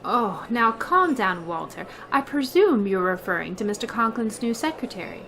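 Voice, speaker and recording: A woman's voice: on the high side, expressive, moderate pace, a bit bright, slight background noise. A woman playing a long-suffering schoolteacher in an old-time radio comedy, with dry, sarcastic delivery. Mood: wry, indignant.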